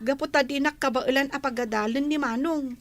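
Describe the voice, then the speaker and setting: A woman's voice: high voice, brisk, no echo, lightly acted. A woman with an Ilocano Filipino accent playing a scolding young village daughter in a radio comedy drama.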